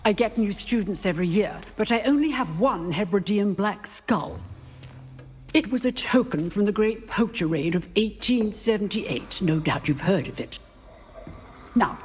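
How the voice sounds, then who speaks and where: An actress playing a sharp, boastful old British professor of duelling in a fantasy game, lecturing drily in a reverberant hall. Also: female voice, medium pitch, a little hiss or hum, lightly acted. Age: older. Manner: dry, imperious lecturing.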